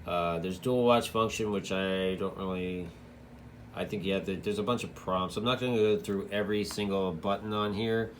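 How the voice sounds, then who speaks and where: A man's voice: sits low, steady tone, normal speed, slight background noise. A man giving a casual, loosely explained product walkthrough as a radio hobbyist reviewer, in an informal, rambling way.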